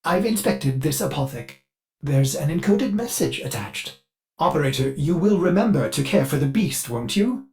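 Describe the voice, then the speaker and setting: An actor playing a fussy, eager-to-please artificial mind of a spaceship in a video game, with an overly polite, theatrical and halting delivery. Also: male voice, on the high side, lively intonation, darkish tone. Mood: helpful, chipper. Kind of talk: video game character dialogue.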